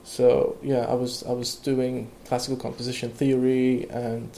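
A young man who grew up in Iran talks in a halting, unhurried way, with plenty of fillers and restarts. His voice has a gravelly, fry-laden edge at mid pitch. A faint hiss sits under the recording. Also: little pitch movement, warm tone. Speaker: guest, electronic music producer. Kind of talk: casual interview conversation.

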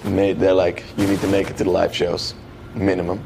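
Deep, gravelly man telling stories casually. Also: brisk, background noise. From Netherlands. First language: Dutch. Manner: animated slangy retelling with quoted dialogue and swearing. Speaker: guest, professional dancer. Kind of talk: casual conversational storytelling.

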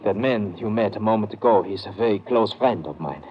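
A nervous doctor, acted by a man, talks formally and haltingly, his pitch moving up and down a lot. The vintage radio drama sound is dull, with faint noise. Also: expressive, natural voice. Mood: guarded. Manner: hesitant, nervous, formal phrasing. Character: anxious European sanatorium doctor, middle-aged man. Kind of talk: old-time radio drama acting.

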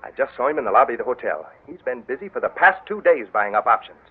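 An actor playing an agitated, accusing lawyer in an old radio drama. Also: male voice, medium pitch, sing-song, quick pace, husky, phone-quality sound. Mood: urgent. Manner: urgent, accusing. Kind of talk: 1940s radio acting. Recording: thin old radio broadcast.

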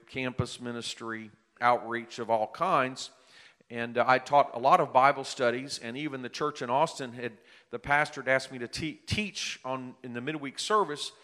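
A man's voice: medium pitch, sharp tone. A man in his late fifties preaching a sermon, earnest and building to emphatic peaks as he tells his own story. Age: 50s. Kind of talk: sermon with personal testimony. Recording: long hall reverb.

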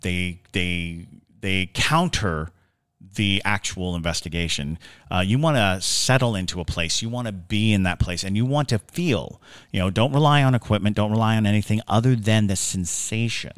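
Male co-host, low register, measured explanatory conversational delivery, dry studio-close sound. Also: clean tone, no echo. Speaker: podcast co-host. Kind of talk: casual explanatory talk.

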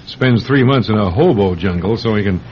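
Man playing a genial, low-voiced doctor. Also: fairly quick, darkish tone, lightly acted. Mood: amused, puzzled. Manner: hesitant, chatty, good-humored. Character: genial, teasing male doctor, the writer's easygoing friend. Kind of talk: radio drama acting. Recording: dull, faintly noisy radio recording.

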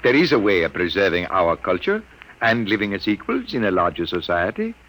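An actor playing a sixty-two-year-old scientist who speaks earnestly and explains things carefully, in an old radio drama. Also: male voice, sits low, wildly swooping pitch, brisk, fairly bright tone, heavily acted, poor audio. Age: 60s. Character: aging Earthman scientist, earnest and burdened. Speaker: elderly scientist father. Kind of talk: 1950s radio drama acting.

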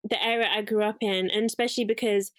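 A woman's voice: medium pitch, steady tone, fast, a bit bright, dry recording. A young woman from England, a guest talking about her life in a casual interview, reflective and rambling with fillers and self-corrections. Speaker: guest, curly hair salon owner.

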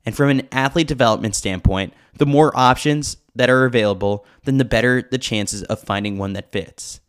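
A man's voice: medium pitch, normal speed, clean tone. A man reading a scripted sports newsletter as a podcast host, with wry, opinionated commentary.

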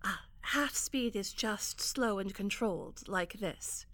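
A woman playing a strained, stern actress and stage combat teacher in an audio drama, speaking in a measured, dry, instructive way. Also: mid-range voice, fairly bright tone. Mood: instructing.

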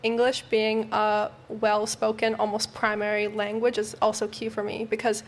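Flat-toned sarcastic woman. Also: medium pitch, moderate pace. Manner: sarcastic, matter-of-fact. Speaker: panel guest. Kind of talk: casual panel chat.

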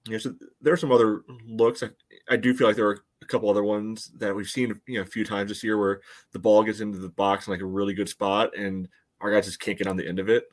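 Male soccer podcast co-host, lowish voice, easygoing analysis, measured and conversational. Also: level tone.

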